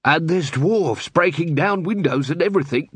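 A man's voice: fairly high pitch, moderate pace, slightly rough, no room sound, voice pushed up. A man voicing an agitated, gossipy and small-minded town grocer, in an audiobook comic fantasy story. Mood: flustered. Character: flustered, gossipy, prejudiced male town grocer.

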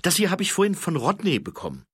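An earnest young watchman hurries through his report in a raised, bright voice, as a man reading aloud plays him. His delivery is quick and a little reproachful. The read is dry and close. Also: sits high, huge pitch swings, slightly rough, dry recording, voice pushed up, heavily acted. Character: eager young male watchman, earnest, dutiful.

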